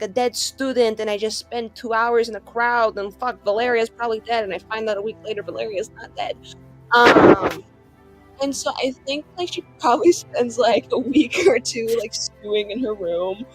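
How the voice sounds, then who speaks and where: A woman casually improvising her character's story as a tabletop game player, in animated, slangy speech peppered with "like". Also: on the high side, rough recording. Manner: animated slangy chat full of "like".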